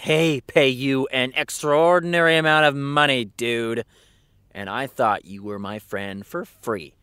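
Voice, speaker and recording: A man's voice: medium pitch, unhurried, pushed and bright, clear, resonant tone, no room sound. An actor playing a needy, self-important male showrunner in a comedic audio drama, theatrical and insistent. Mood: exasperated, pleading.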